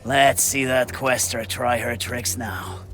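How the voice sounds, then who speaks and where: An actor playing a gloating, menacing enemy soldier in a video game cutscene. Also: male voice, mid-range voice, little pitch movement, takes it slowly, pushed and bright, faint music behind.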